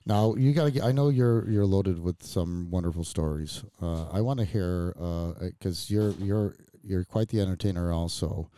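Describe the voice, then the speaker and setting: Low, mellow-voiced joking male host. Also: moderate pace, hardly any pauses, clear, resonant tone, dry recording. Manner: rambling, jokey, lots of fillers and restarts. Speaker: podcast host. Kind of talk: casual chat. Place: studio.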